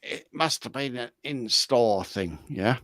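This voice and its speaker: A man's voice: mid-range voice, lively intonation, slow, fairly bright tone, no room sound, clean studio sound. A man co-hosting a comedy talk show in casual, crude banter, often repeating himself.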